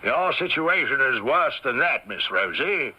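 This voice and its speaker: An actor playing a stern, scornful London police inspector in an old radio mystery, brusque and commanding. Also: male voice, mid-range voice, big pitch swings, unhurried, slightly rough, highs cut off. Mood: stern, accusing. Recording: old radio broadcast recording.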